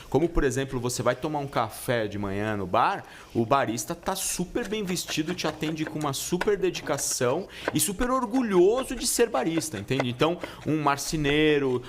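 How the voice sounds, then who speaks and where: A middle-aged man born in Italy chats casually on a podcast, telling his stories at an easy pace. He often restarts his sentences and drops in slang. A faint hiss sits behind his voice. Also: mid-range voice. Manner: relaxed storytelling with slang and many restarts. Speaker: guest pizza chef.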